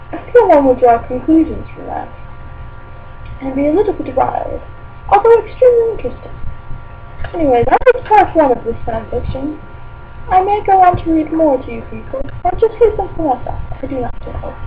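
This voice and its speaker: A woman's voice: sits high, lively intonation, moderate pace. A woman voicing a dreamy, oddball teenage witch girl who reads fan fiction aloud in character, with airy, politely curious, rambling asides. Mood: curious, detached. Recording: tinny phone-like sound with faint hum.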